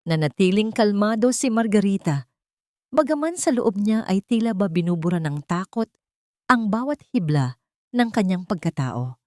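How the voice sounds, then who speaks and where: A woman narrator reading a scripted dramatic story in a measured, solemn, literary manner. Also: mid-range voice, big pitch swings, darkish tone, no room sound, studio quality.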